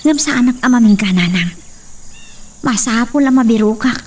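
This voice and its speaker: A determined, anxious daughter in a radio drama, with a soft-toned, fairly high voice. She speaks earnestly in a northern Philippine accent, a bit away from the mic with faint noise behind her. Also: expressive, moderate pace, recorded from a distance. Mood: resolute. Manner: earnest, resolute. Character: determined, worried daughter searching for her father.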